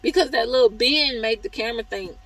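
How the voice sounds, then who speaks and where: Hoarse woman venting about work. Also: on the high side, brisk, fairly bright tone, low-quality recording. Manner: chatty, exasperated venting with quoted reactions. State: hoarse, losing her voice. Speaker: truck driver vlogger. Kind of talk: casual vlog review.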